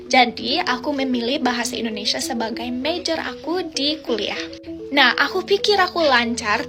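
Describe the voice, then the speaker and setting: A young woman from Australia in her twenties, an English speaker, chatting to her viewers in a casual, explaining way. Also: high voice. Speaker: vlogger. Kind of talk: casual talk to camera. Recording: music bed behind the voice.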